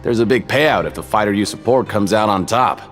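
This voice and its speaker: A man's voice: on the low side, brisk, lightly acted. An actor playing a shifty, folksy ticket seller at a fight ring in a video game, with a casual, confiding, hedging salesman's manner. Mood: breezy. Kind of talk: video game character acting. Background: music.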